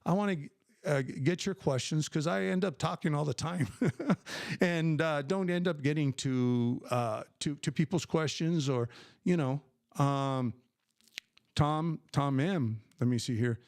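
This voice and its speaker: A man's voice: fairly high pitch, unhurried, creaky, a little mellow, dry recording, clean studio sound. An older man hosting a casual live question-and-answer stream, talking emphatically and rambling with many fillers and restarts. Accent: New Mexican Spanish when speaking Spanish. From New Mexico, USA. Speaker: livestream host.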